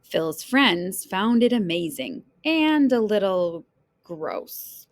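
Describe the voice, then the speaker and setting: A woman reading a children's picture book aloud in an expressive, dramatic storyteller's way, phrasing deliberately for young listeners. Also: mid-range voice. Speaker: read-aloud storyteller, teacher.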